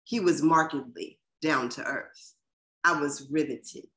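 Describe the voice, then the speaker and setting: A middle-aged woman poet reading poems and introducing them casually on a video call, in a measured, deliberate way. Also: sits low, fairly bright tone. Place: small furnished room at home, indoors. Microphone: video call computer microphone.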